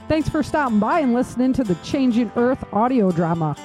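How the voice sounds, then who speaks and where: A woman's voice: on the high side, lively intonation, fairly quick, a little mellow, soft background music. A woman hosting a podcast as its author, speaking in an informal, conversational style. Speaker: podcast host and audio drama author. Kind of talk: casual podcast chat.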